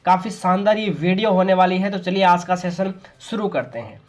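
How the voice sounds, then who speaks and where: A man with an Indian accent giving a spoken English lesson. He mixes in English phrases and says them slowly and deliberately as examples. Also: high voice. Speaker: teacher.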